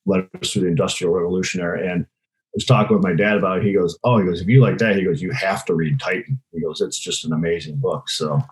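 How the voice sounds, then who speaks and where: A man's voice: sits low, fairly even intonation, fast talker, poor audio. A man speaking as a podcast guest and real estate investor, answering conversationally.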